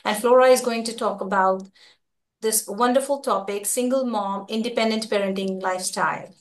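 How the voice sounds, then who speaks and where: A woman hosting an online parenting summit interview on a video call, often pausing with "uh" and "like". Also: medium pitch, steady tone. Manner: hesitant, many "uh" and "like". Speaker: host, parenting coach.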